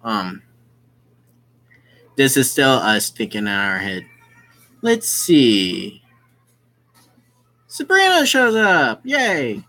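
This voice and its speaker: A gamer reading a visual novel aloud with casual commentary, halting and often explaining who is speaking. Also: mid-range voice, wildly swooping pitch, sharp tone, soft background music. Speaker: gaming video host.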